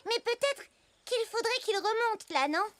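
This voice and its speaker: A woman voicing a curious, cheerful young girl in a cartoon, eager and lively. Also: extremely high pitch, a little slow, heavy background noise. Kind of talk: French cartoon dubbing.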